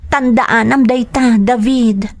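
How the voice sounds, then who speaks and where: A woman's voice: a little high, fairly even intonation, takes it slowly. A woman acting a timid, anxious young girlfriend in a radio drama, pleading with an Ilocano Filipino accent. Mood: pleading, worried. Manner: pleading, apologetic.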